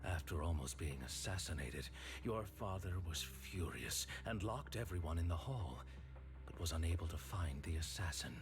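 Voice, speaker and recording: An actor playing a stern, righteous man in a video game, speaking in a grave, measured, accusatory way. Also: low-pitched, lively intonation, over music.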